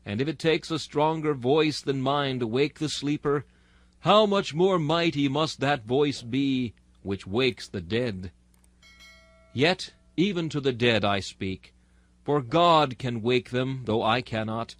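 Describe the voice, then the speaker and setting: A man's voice: mid-range voice, takes it slowly, no echo. Solemn man delivering a dry, close sermon. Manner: solemn, exhorting, oratorical. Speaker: narrator reading a historical sermon. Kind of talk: dramatic sermon reading.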